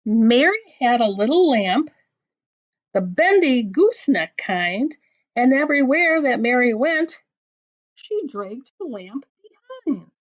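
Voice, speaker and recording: Female children's read-aloud storyteller, higher-pitched and very animated, deliberate sing-song phrasing, slightly muffled lo-fi recording. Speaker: teacher reading aloud to children. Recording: dull lo-fi sound.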